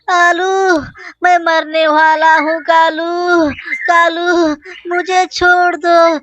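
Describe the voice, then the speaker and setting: A bullying male owl, performed by a woman for a children's cartoon. The voice is forceful and sharp, with theatrical, drawn-out phrasing. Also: extremely high pitch, level tone, dry recording, dull audio, exaggerated voice. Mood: agonized begging. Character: bullying male owl, menacing, then whining. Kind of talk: children's cartoon story acting.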